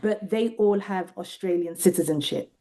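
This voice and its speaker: A British Nigerian woman with a British accent, a researcher talking thoughtfully in an interview and often pausing to find her words. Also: lowish voice, warm tone. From Nigeria and Britain. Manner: thoughtful, searching for words, many restarts. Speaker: guest researcher. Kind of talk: reflective interview conversation.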